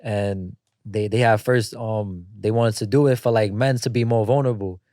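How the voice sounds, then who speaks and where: Low mellow man who stutters. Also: normal speed, no echo, clean studio sound. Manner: stutters, relaxed storytelling. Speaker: podcast manager. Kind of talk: casual chat.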